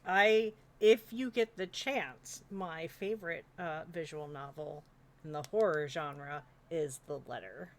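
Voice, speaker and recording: A woman in casual panel chat, speaking haltingly and correcting herself as she goes. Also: deep voice. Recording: faint background noise.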